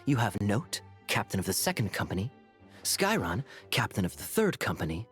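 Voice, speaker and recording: An actor playing a suave, composed knight captain in a video game, with a polished, theatrical delivery. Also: male voice, on the low side, sing-song, moderate pace, a bit bright, faint music behind. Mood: suave introduction.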